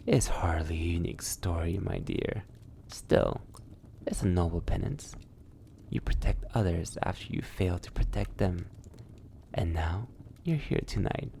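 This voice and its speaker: A seductive vampire lord, played by a man, speaks very slowly in a dark, mellow murmur with a creaky edge. He is mockingly courteous and cruel, drawing out each taunt close to a whisper, as intimate ASMR roleplay. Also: deep voice, a little hiss or hum, exaggerated voice. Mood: mocking, amused. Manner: breathy near-whispers, drawn-out taunting, archly polite.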